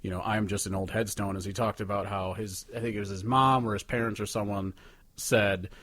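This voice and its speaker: A man's voice: on the low side, fairly even intonation, fairly quick, a bit bright, no room sound. A man co-hosting a casual music podcast, talking in an informal, rambling, sweary way. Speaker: podcast co-host.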